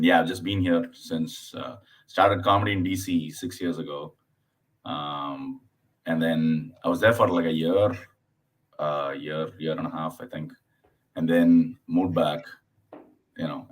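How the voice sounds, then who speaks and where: A man from southern India in his thirties, talking as a comedian guest on a casual podcast. His voice sits very low, and he speaks haltingly, circling back with fillers and restarts as he tells stories. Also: low-quality recording. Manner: acts out his mother's voice in anecdotes.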